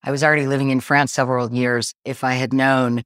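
A woman's voice: a bass voice, level tone, a little fast, fairly bright tone, dry recording, clean studio sound. A woman in her forties, a real estate agent, explaining the buying process in a casual interview and laughing easily.

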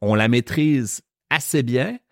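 A man with a Quebec French accent hosting a podcast lesson, explaining slowly and carefully. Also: medium pitch, no room sound, studio quality. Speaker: French teacher and podcast host.

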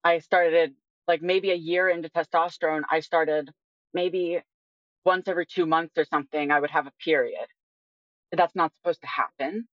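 A woman's voice: low voice, fairly even intonation, normal speed, no room sound. Candid young woman, slightly dull audio. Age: 20s. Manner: candid, informal, frequent "like" as filler. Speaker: interview guest. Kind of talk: casual personal conversation.

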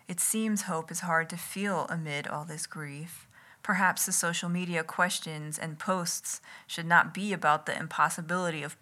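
A woman reading her own writing aloud as an ASMR read-along, in a deliberate, literary manner with wry asides, close to the mic in a home kitchen. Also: lowish voice, pushed and bright. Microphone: close enough to catch breath and lips.

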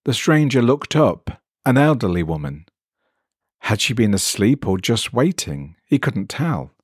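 A man reading a scripted short story as an expressive narrator. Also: mid-range voice, measured pace, slightly rough, no echo, clean studio sound.

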